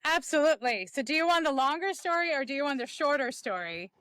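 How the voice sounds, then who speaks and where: A woman's voice: high-pitched, lively intonation, quick pace, a bit bright, dry recording. A woman, a podcast guest candidly telling her life story in a reflective way with many ums. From Bangladesh. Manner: reflective, frequent um fillers.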